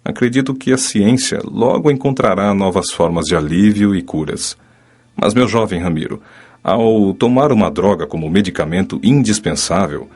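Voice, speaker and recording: A man playing a kindly, wise spirit physician who teaches calmly in a dramatized audiobook. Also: sits low, slightly rough, exaggerated voice. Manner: calm, didactic, measured.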